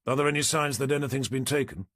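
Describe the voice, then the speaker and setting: A man's voice: mid-range voice, fast, a bit bright, no echo, pitched above the performer's own voice, lightly acted. Guy as a british detective, dry and wry, audiobook dialogue, close dry recording. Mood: businesslike. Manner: dry, questioning.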